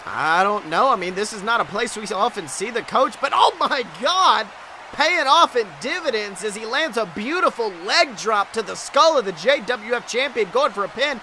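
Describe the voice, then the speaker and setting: A male wrestling commentator calls the action in a strikingly high, sharp voice. His delivery is breathless and exclamatory, full of dramatic emphasis. There is faint noise and ambience behind him. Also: expressive, normal speed. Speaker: wrestling commentator, podcast host. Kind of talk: excited play-by-play wrestling commentary.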